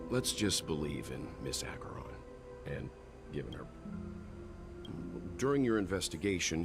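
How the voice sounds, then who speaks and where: Mentor mayor muy grave y sereno. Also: male voice, extremely low pitch, creaky, exaggerated voice. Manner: measured, thoughtful, reassuring. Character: calm older male companion, steady mentor. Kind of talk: game voice acting. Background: game music.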